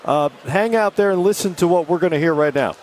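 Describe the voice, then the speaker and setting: High-voiced male studio host. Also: a little fast, slight background noise. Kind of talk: broadcast hosting.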